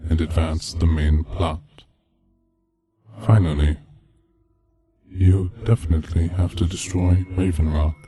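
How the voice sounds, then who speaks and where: Man, very low pitch, extremely mellow dark tone, measured scripted narration, faint music behind. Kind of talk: scripted narration of a video game mystery. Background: background music.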